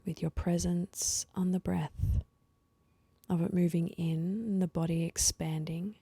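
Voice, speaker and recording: A woman leading a guided meditation with slow, soothing instructions and pauses, her voice soft and at times breathy. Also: fairly low pitch, little pitch movement, dry recording.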